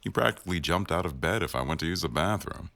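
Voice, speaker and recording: A man playing a teasing, bossy but doting newsroom boss in an intimate boyfriend roleplay audio, with a wry, unhurried delivery. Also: deep voice, lively intonation, gravelly, a bit bright, no room sound, heavily acted. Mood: fond, teasing.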